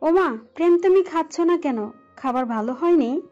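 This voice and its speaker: High, soft-toned fussing aunt played by a woman. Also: expressive, normal speed, dull audio, soft background music. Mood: concerned. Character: caring elder aunt fussing over family. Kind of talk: audio story drama acting.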